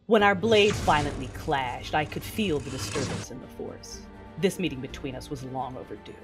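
A woman's voice: a bass voice, expressive, moderate pace. A woman playing an intense, battle-hardened Sith mother who narrates her own story theatrically in an audio drama. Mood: grim, determined. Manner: brooding, theatrical, emphatic outbursts. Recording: music bed with rain sounds behind.

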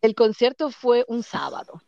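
A woman's voice: fairly high pitch, sing-song, moderate pace, gravelly, no room sound, muffled recording. A middle-aged Dominican woman being interviewed, casually recalling her past in a rambling way, repeating names and laughing often. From Dominican Republic. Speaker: guest.

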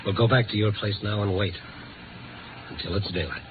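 Man acting a low-voiced, tinny 1950s radio reporter. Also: steady tone, a little fast, lightly acted. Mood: firm, steadying. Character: tough, steady male Chicago newspaper reporter. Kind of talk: 1950s radio drama acting and first-person narration. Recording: tinny old radio recording, music behind.

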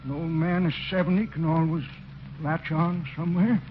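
A man's voice: a little high, fairly even intonation, moderate pace, music in the background, lightly acted. An actor playing a sassy, cantankerous man of seventy with folksy, impudent talk in 1940s radio comedy. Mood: defiant. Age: 70s. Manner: folksy, impudent drawling grammar. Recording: muffled old 1940s radio recording, faint noise.

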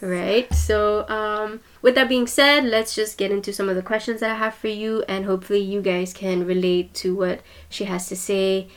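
A woman's voice: medium pitch, steady tone, normal speed, few pauses. Woman podcast host, mid voice, upbeat and earnest, chatting right at the listeners. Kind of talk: casual podcast hosting.

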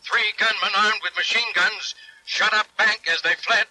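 Urgent police dispatcher, piercing forceful tone, monotone, clipped bulletins, old radio drama. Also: male voice, high voice, moderate pace, poor audio. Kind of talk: 1940s radio acting.

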